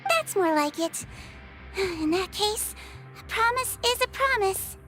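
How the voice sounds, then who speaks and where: Young game nurse girl, really high sweet little voice, caring but wont budge. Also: animated, takes it slowly, over music, heavily acted. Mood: determined. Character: petite, caring yet firm young female head nurse. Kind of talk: video game voice acting.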